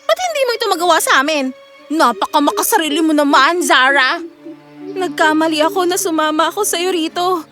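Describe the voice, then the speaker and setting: A woman's voice: very high voice, brisk, bright, forceful tone, soft background music. A woman acting the young women and mothers of a children's fairy tale, with theatrical, exclamatory delivery. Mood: jealous, scolding.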